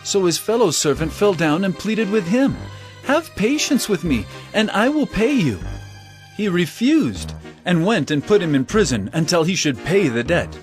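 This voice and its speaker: A man's voice: high-pitched, big pitch swings, moderate pace. An actor playing an earnest, authoritative male teacher in a dramatized scripture audio drama, with a solemn, emphatic delivery. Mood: earnest storytelling. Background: background music.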